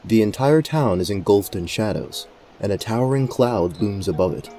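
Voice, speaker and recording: Mellow, low-voiced male fantasy narrator. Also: animated, moderate pace, soft background music. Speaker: narrator and game master.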